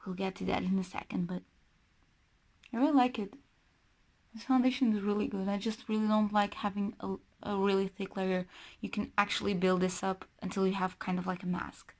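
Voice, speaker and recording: A woman's voice: mid-range voice, level tone, fairly quick, a little mellow. A young woman from Portugal, chatting casually and rambling with many self-corrections while doing her makeup. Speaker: book and beauty vlogger.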